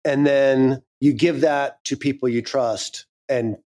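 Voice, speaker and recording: A man's voice: medium pitch, fairly even intonation, takes it slowly, darkish tone. A man in his fifties, a guest speaking frankly and candidly in a casual interview, swearing now and then. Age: 50s. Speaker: guest, audio drama creator.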